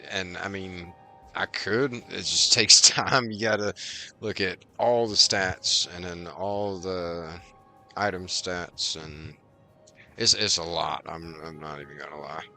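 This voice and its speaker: A man's voice: deep voice, steady tone, takes it slowly, creaky, pushed and bright. A man giving casual live game commentary as a streamer, musing aloud and answering his chat. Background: game music.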